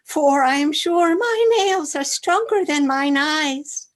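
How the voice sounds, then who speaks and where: A woman's voice: high-pitched, lively intonation, takes it slowly. A woman playing a loyal, anxious attendant in a Shakespearean radio play, earnest and dramatic. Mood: horrified.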